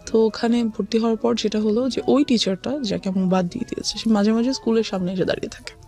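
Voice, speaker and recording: A woman's voice: a little high, fairly even intonation, quick pace, mellow, soft background music. A woman with a Bangladeshi accent, an interview guest telling a personal story and often switching between Bengali and English.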